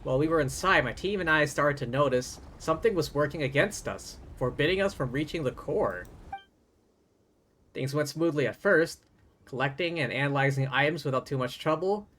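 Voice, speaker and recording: A man's voice: on the high side, expressive, moderate pace, fairly bright tone, a little hiss or hum. A man livestreaming a game, reading dialogue aloud and adding playful, wry, casual asides. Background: game music.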